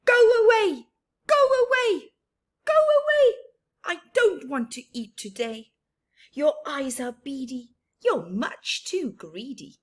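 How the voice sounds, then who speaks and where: Grumpy go-away bird, a woman doing it, shrill and shouty, super high, kinda breathy. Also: exaggerated voice.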